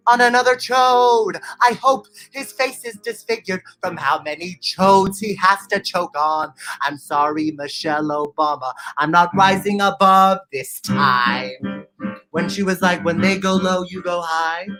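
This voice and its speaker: A man's voice: high-pitched, sharp tone. A young man performing comedy songs and patter in a theatrical, self-mocking way. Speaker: musical comedian. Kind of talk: comedy songs and stage patter for an online show.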